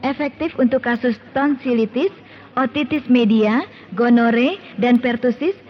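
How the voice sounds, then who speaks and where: A woman's voice: on the high side, a little slow, close to an everyday voice. An actress playing a keen woman persuasively explaining a medicine in scripted radio advert dialogue. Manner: emphatic, persuasive. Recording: dull lo-fi radio, faint noise.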